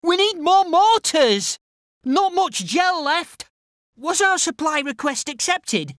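Timid, high-pitched soldier. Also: male voice, very animated, slow, a bit bright, dry recording, exaggerated voice. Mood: worried. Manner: hesitant, unsure, polite. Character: timid, unsure British infantry soldier, eager to obey. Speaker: infantry soldier unit voice. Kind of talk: video game unit barks.

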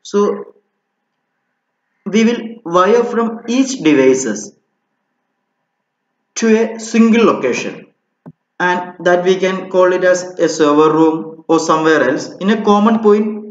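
Slow-talking man in an echoey room. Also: high voice, expressive. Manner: explanatory, often checking with "okay". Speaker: instructor. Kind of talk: informal technical tutorial. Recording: muffled, roomy, echoey home recording.

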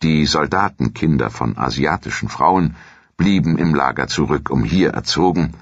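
A man with an extremely low, husky voice narrates history in a measured, formal way. His scripted delivery suits an audio documentary, and the sound is dry and slightly dull. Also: animated, no echo.

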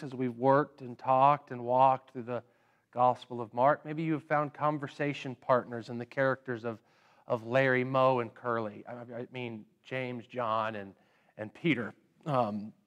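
A man in his thirties preaching a sermon in a church sanctuary, unhurried, often repeating words as he gathers his thoughts. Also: mid-range voice. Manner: unhurried, with frequent word repeats and pauses; standing and gesturing while preaching. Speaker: pastor.

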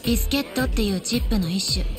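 An actress playing a cool, poised young woman in a Japanese game dub, brisk and self-assured. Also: medium pitch, music in the background, lightly acted. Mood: knowing.

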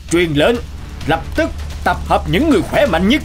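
A man's voice: sits high, big pitch swings, moderate pace, soft background music, voice pushed up. A man voicing an anxious middle-aged village official who talks nervously and stammers in a cartoon. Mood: worried.

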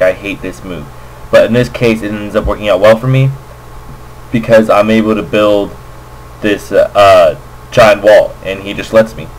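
A man's voice: on the low side, poor audio. A man giving casual, unscripted game commentary, hesitant and thinking aloud as he goes. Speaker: amateur Go player, commentator. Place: indoors at a computer, screen recording voice-over. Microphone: makeshift computer microphone.

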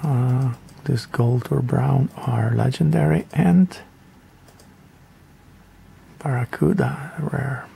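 A man with a heavily gravelly, mellow voice talks through a game tutorial. He rambles in an offhand, hesitant manner, with faint noise behind him. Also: mid-range voice, steady tone, takes it slowly, long pauses. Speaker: gaming video creator. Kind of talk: casual game tutorial commentary.

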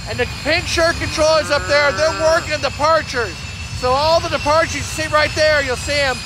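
High-pitched American man over outdoor noise. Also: steady tone, moderate pace. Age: middle-aged. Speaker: air traffic controller giving a tour as host. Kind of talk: informal explanatory vlog talk. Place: outdoors at an airfield and open grounds. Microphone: phone at arm's length. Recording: noisy outdoor airfield with vehicle sounds.

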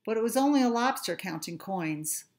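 A middle-aged woman, a teacher, reading a children's story aloud with expressive, deliberate phrasing in a room at home. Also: on the high side. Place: small furnished room at home.

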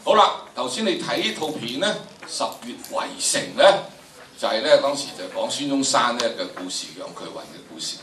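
A man's voice: mid-range voice, fairly bright tone. Older man in his seventies, Hong Kong Cantonese accent, informal history lecture, storytelling asides, roomy distant sound. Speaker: lecturer at a history drama workshop. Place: large indoor lecture hall. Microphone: handheld microphone heard through the room from camera. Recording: roomy, distant, faintly noisy lecture-hall recording.

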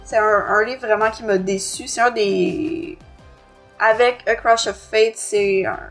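A woman's voice: mid-range voice, fairly even intonation, a little slow, a bit bright, faint music behind. A woman with a Quebec French accent co-hosting a podcast, reviewing a book in a somewhat hesitant, self-correcting way. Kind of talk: book review, plot summary and discussion.

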